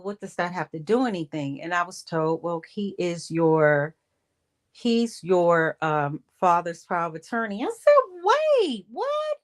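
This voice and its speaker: A woman with a mid-range voice tells a personal story casually, as if to a livestream audience. She takes her time, pausing and repeating phrases for emphasis, and quotes conversations as she goes. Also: medium pitch. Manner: animated re-enacting of conversations with quoted dialogue. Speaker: vlogger telling a personal story.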